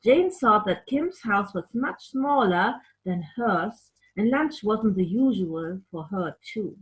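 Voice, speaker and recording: A woman tells a picture-book story to children at a slow, unhurried pace. Her delivery is expressive, and she speaks to young listeners directly, as a puppeteer storyteller would. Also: medium pitch, poor audio. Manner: expressive, addressing children with questions.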